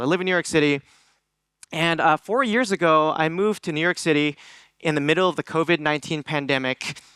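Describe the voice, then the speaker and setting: A young man from Hong Kong speaks earnestly to a conference audience, often pausing with ums and restarting phrases as he asks the room for raised hands. His voice is bright and crisp, a little high for a man. The sound is close and dry. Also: no echo. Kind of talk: motivational stage talk.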